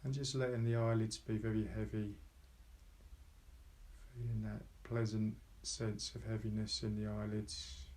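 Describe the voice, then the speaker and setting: A man leading a guided meditation in slow, soft instruction, calm and unhurried, with pauses between short phrases. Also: on the low side, slight background noise.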